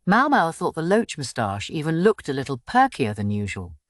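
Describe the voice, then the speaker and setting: Lively low-voiced woman narrating an audiobook. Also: moderate pace, dry recording, clean studio sound.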